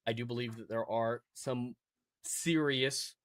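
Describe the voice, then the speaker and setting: Earnest man with a bright, dry voice. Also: mid-range voice, fairly even intonation, unhurried, dry recording. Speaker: movie review show co-host. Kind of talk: casual spoken movie review.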